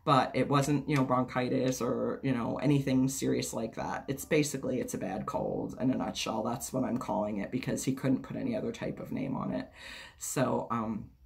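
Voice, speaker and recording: A woman vlogger chatting casually to camera, her voice raspy and hoarse from a cold, rambling and swearing freely. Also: very deep voice, steady tone, moderate pace.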